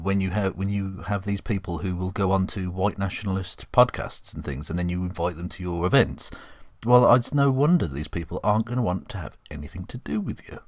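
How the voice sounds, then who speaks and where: A man co-hosting a casual discussion, blunt and quick to interject. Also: low voice, dry recording, clean studio sound. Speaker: occasional co-host.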